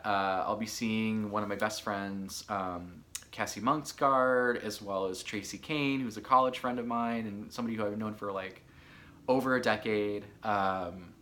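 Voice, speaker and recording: A man in his thirties from Michigan, hosting a podcast in casual solo chat, chatty and a bit hoarse, with lots of filler words. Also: lowish voice, pushed and bright. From Michigan, USA. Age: 30s. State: hoarse from allergies. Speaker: podcast host.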